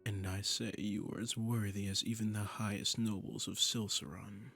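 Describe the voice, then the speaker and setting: A man's voice: on the low side, level tone, moderate pace, a bit bright, soft background music. A man playing a seductive, commanding demon prince in a romantic roleplay audio drama, speaking in a silky, imperious way and often dropping into a murmur. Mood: smug, coaxing. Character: seductive, commanding male demon prince claiming a captive singer.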